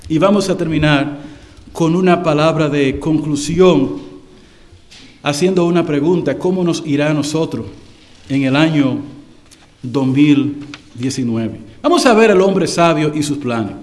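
A man preaching a sermon to his congregation, swelling louder and slowing down for emphasis. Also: on the high side. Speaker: pastor.

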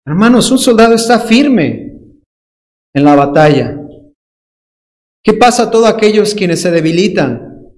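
A man's voice: sits high, big pitch swings, a little slow, long pauses. A man preaching a sermon with a Dominican accent, emphatic and playful, drawing out key words and mimicking people's excuses. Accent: Dominican Spanish.

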